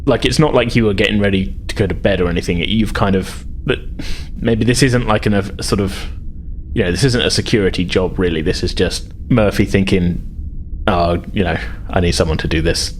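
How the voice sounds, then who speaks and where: Low-voiced man running a tabletop game. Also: brisk, a little hiss or hum. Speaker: game master. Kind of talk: narrating a tabletop role-playing game.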